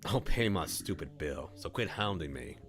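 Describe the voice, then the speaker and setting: Man voicing a grumpy low-voiced wolfman. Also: animated, moderate pace, fairly bright tone. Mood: irritated ranting. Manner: irritable, ranting. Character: grumpy, suspicious male wolfman monster. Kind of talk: visual novel character reading. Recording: music under the voice.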